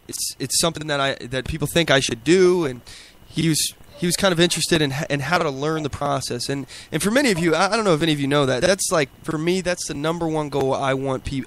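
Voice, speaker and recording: A man hosting a casual political podcast while sick and losing his voice, halting and repeating words often. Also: fairly high pitch, a bit bright. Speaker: podcast host. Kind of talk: casual political talk show.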